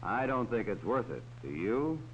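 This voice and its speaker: Man, narrator, old safety film, talks down to kids, lively pitch, muffled hissy soundtrack. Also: normal speed. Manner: talks down to children. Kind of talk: 1940s educational film narration. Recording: dull old film soundtrack with faint hiss.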